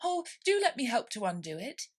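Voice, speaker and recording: A woman's voice: on the high side, very animated, moderate pace, voice pushed up. A woman voicing a polite, curious little girl in a storybook, speaking in an earnest, eager way. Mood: humble, helpful.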